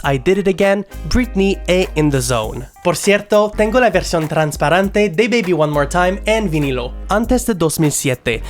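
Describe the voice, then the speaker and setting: A man from Quebec with a Québécois French accent, a native French speaker, giving a careful, earnest scripted narration as host of a video essay. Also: fairly high pitch. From Quebec, Canada.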